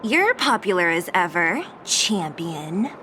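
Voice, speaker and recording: A woman's voice: lowish voice, big pitch swings, takes it slowly, sharp tone, slight background noise, exaggerated voice. An actress playing a reserved, exasperated young female resistance fighter in a video game. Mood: dry, cool. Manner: dry, reluctant, sighing. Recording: music clearly behind.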